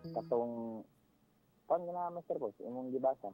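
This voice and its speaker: A man with a Cebuano accent calling in to a radio show, giving casual advice in an informal, halting way. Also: medium pitch, warm tone. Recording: tinny phone line, music underneath. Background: background music.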